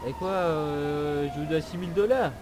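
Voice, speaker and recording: A man's voice: a little high, moderate pace, noisy background, lightly acted. A man improvising a cheeky, whining troublemaker under arrest in online game roleplay, speaking with mock politeness. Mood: mock-polite.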